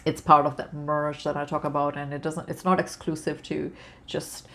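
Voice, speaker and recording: Deep-voiced woman with a gravelly edge. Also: little pitch movement, moderate pace, fairly bright tone. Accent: Canadian with a slight British-Australian undertone. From born outside Canada, raised in Canada. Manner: rambling, many fillers and restarts. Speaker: spiritual vlogger. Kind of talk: casual spiritual talk to camera.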